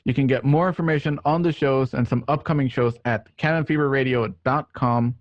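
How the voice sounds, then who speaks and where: A man's voice: medium pitch, fairly even intonation, brisk, no echo. Guy hosting n narrating a radio play, bit stumbly, dry dull home audio. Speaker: host and scene narrator. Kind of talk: reading stage directions, hosting an online radio play. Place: home, remote online recording.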